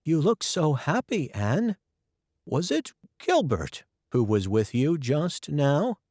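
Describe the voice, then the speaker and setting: A kindly, worried older woman from a storybook, soft and motherly in tone, as a man puts her on. The voice rises above his own with a gravelly grain and an unhurried pace. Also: a little high, big pitch swings, dry recording, studio quality, pitched above the performer's own voice, full character voice. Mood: fond. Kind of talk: storybook reading.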